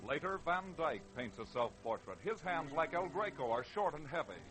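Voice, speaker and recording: A man's voice: on the high side, animated, moderate pace, music in the background. A man narrating a scripted radio programme in dramatic 1940s style, on a noisy vintage recording.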